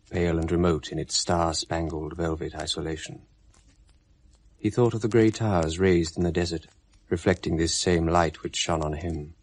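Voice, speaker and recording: A man narrating a 1970s radio drama in a measured, quiet storytelling manner. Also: low-pitched.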